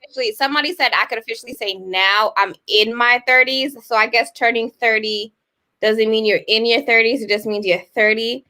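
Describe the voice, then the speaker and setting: A woman in her thirties co-hosting a casual chat show, telling stories animatedly and jumping up high when she exclaims. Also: fairly even intonation, a little fast, pushed and bright. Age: 30s. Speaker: co-host.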